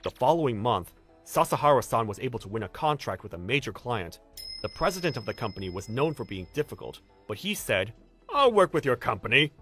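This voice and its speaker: The man telling the tale in an online animated comic, lively in pitch, with music under his narration. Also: brisk, husky. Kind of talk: scripted first-person story narration, anime-style dub.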